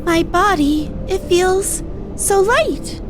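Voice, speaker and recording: A woman's voice: very high voice, lively intonation, takes it slowly, noisy background, pitched above the performer's own voice, heavily acted. A woman voicing a small, innocent, curious spirit with formal, wondering speech in a game read-aloud. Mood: wondering, grateful. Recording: music behind the voice.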